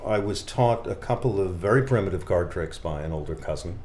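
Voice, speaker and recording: Middle-aged male guest, very low voice, halting storyteller, roomy recording. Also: animated, darkish tone, a little hiss or hum. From Boston area, United States. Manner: halting, anecdotal storytelling with many restarts. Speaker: guest, magician. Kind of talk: casual podcast conversation.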